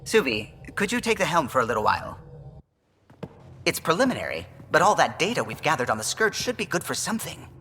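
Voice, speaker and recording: An actor playing an earnest, eager alien pilot in a video game, polite and precise in speech. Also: male voice, fairly high pitch, very animated, fairly quick, bright, forceful tone, in a large hall. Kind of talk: video game character acting.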